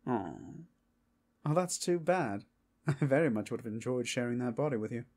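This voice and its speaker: Man playing a suave, teasing vampire, lively pitch swings. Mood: teasing, flirtatious. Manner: playful old-fashioned gentlemanly phrasing, drawn-out delivery. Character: suave flirtatious ageless male vampire, teasing and genteel. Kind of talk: audio roleplay acting.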